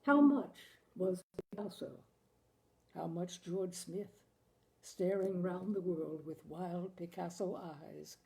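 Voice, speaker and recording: A woman narrating a short story in an expressive, deliberate audiobook style, savoring the phrasing. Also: lowish voice, vocal fry, rough recording. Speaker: literary reader, storyteller.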